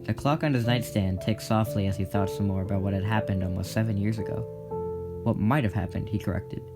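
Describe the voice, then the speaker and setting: Low-voiced man narrating over guitar music. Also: fairly quick. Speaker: audiobook narrator. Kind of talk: scripted story narration for audio drama. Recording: prominent guitar music behind. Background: plucked guitar music.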